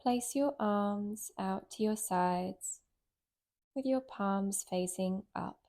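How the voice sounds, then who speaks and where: A woman guides a relaxation practice at a very slow pace. Her voice is warm and mellow, with a medium pitch. She speaks gently and soothingly, leaving long, unhurried pauses between phrases. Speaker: meditation guide, yoga nidra teacher.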